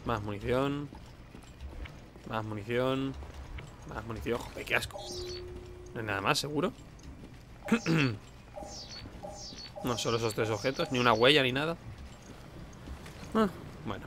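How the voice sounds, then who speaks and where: A man's voice: mid-range voice, measured pace, long pauses, noisy background. Casual male game streamer, Spain accent, informal and sweary, talks to himself over noticeable game sound. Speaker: let's play host. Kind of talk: casual gameplay commentary.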